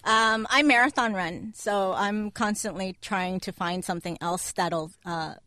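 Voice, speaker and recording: A woman's voice: mid-range voice, a little slow, bright, forceful tone, no echo. A woman guest on a radio talk show, chatting animatedly about fighting in a pillow fight league. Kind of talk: casual chat.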